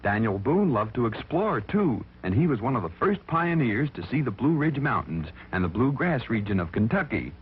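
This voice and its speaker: Animated male storyteller on a thin vintage recording. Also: mid-range voice, normal speed, hardly any pauses, a little hiss or hum. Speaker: narrator. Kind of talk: dramatic scripted storytelling for children. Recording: thin, phone-like vintage recording with music behind. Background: music.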